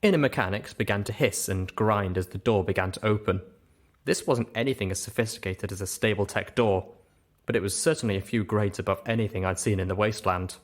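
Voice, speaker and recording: A man's voice: on the low side, brisk. A man narrating a story expressively as host of a reading show.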